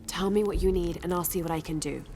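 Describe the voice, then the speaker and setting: An actress voicing a resolute young woman adventurer, speaking in calm, measured, earnest tones in a video game cutscene. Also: fairly low pitch, lightly acted. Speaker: explorer aiding a rebellion. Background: game music score.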